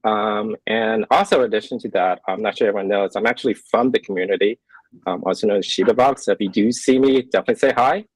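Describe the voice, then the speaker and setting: A man's voice: fairly low pitch, quick pace, dry recording, low-quality recording. A man from Los Angeles introducing himself as an engineer, plainly and briefly, with fillers. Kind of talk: casual chat.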